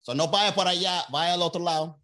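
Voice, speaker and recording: A man's voice: high voice, fast talker, pushed and bright. A man putting on a chatty Cuban man with a Cuban Spanish accent who gives rambling, gossipy street directions.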